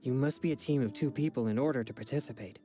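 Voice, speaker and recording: A man's voice: mid-range voice, fast, mellow, natural voice. An actor playing a polite, earnest young male exorcist in a video game, with a formal, courteous manner.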